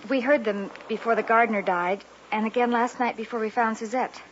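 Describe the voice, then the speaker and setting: An actress playing an anxious, refined countess with a melodramatic delivery in an old-time radio play. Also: female voice, fairly high pitch, fairly even intonation, brisk, a little hiss or hum, close to an everyday voice. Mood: uneasy. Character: frightened, refined countess, anxious pleading wife. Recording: muffled vintage radio recording.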